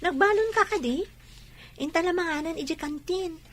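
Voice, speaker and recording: A woman's voice: very high-pitched, moderate pace, fairly bright tone. A woman with an Ilocano Filipino accent playing a friendly, caring young woman in an audio drama. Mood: teasing, caring. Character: friendly, caring young woman named Sally, respectful and teasing. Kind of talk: amateur audio drama acting.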